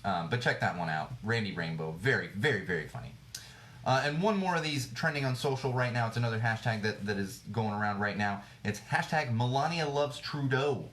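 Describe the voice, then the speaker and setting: A man hosting a talk show, giving blunt, indignant commentary on the news, repeating phrases for emphasis, and a little hoarse as he loses his voice. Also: medium pitch, expressive, brisk, a bit bright, echoey room, slight background noise. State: slightly hoarse, losing his voice. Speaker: podcast host. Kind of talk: opinionated news commentary rant.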